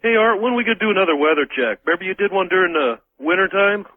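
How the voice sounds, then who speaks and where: Male American caller, rough-edged and a bit high, informal and hesitant, over a narrow telephone line. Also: animated. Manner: halting, searching for words. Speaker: first-time radio caller. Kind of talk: casual call-in chat. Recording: thin phone line on radio.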